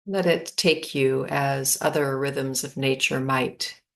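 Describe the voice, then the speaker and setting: A woman guides a meditation in a low voice with a creaky edge. She speaks very slowly and calmly, leaving long pauses between her phrases. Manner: slow, calm, spacious phrasing with long pauses.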